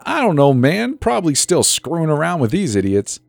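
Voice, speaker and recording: A man's voice: fairly high pitch, huge pitch swings, moderate pace, creaky, no echo, faint music behind, voice pushed up, heavily acted. A man voicing a cocky, defiant teenage boy, mocking and breezy, in a dramatic story reading. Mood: flippant.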